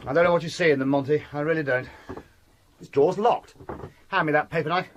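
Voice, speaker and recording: An actor playing an anxious, impatient young gentleman heir in a radio drama, earnest and blunt. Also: fairly high pitch, quick pace, muffled recording, slight background noise, lightly acted.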